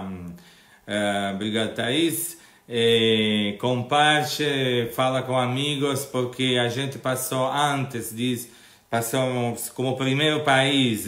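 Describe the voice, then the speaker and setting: A man's voice: medium pitch, fairly bright tone, roomy sound. A man with an Italian accent hosting an online interview in Portuguese, talking casually and often hesitating and restarting. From Italy. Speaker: interviewer and channel host.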